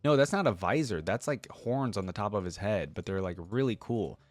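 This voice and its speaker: A man's voice: on the low side, lively intonation, fairly quick, dry recording. A man hosting a reaction video, talking casually and thinking aloud in a rambling, informal way.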